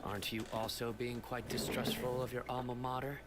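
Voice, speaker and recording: An actor playing a stern, guarded male investigator in a game, speaking in a terse, deliberate way. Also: mid-range voice, flat delivery, lightly acted.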